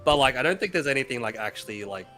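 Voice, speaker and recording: Young man, mid-range voice, casual halting speech, soft guitar music in the background. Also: medium pitch, animated. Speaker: friend joining a game stream. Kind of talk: casual chat.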